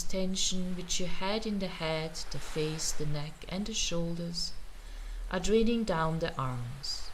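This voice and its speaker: A woman teaching meditation, guiding a relaxation in a gentle, soothing manner with pauses. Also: lowish voice, rough recording. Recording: noticeable ambient background noise.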